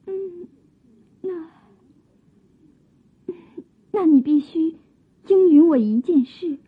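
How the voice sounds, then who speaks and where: An actress playing a gentle, modest young maiden of old China in a Chinese radio drama, with soft, coy period diction. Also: female voice, very high-pitched, drawn-out words, full character voice. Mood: anxious. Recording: muffled narrow-band old radio drama.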